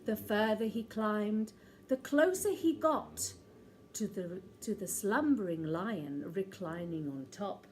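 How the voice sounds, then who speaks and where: Lady reading a kids story, really animated and high pitched, draws words out, bit of noise behind. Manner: theatrical, drawn-out emphasis. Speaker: storyteller reading a children's picture book. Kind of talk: expressive read-aloud storytelling.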